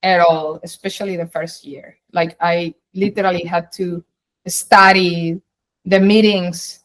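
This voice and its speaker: A woman's voice: fairly low pitch, fairly even intonation, a little slow, poor audio. Colombian woman, emphatic and explanatory. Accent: Colombian Spanish. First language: Spanish. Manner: emphatic, explains in long flowing runs with rephrasings. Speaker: guest, data science executive. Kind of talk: interview answers, conversational.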